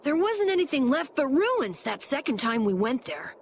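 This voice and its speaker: A woman voicing an earnest, curious and excitable young boy adventurer in an anime-style game. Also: high voice, moderate pace, slight background noise.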